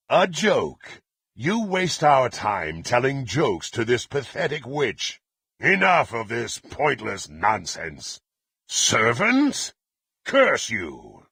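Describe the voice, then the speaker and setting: An actor playing a brutal, bloodthirsty fantasy warrior in a video game, haughty and threatening, with a gruff, raspy voice and formal archaic diction. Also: male voice, mid-range voice, sharp tone, dry recording, heavily acted. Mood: scornful anger. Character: brutal, menacing, bloodthirsty male warrior, contemptuous and impatient. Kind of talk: theatrical fantasy game voice acting.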